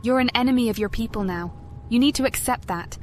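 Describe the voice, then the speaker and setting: Woman playing a calm elven sorcerer. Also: on the high side, a little hiss or hum, lightly acted. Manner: measured, questioning.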